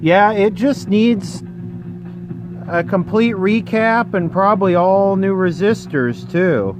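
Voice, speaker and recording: A man's voice: high-pitched, takes it slowly, music in the background. A man narrating a radio repair at his workbench in a casual way, explaining technical details as he thinks aloud.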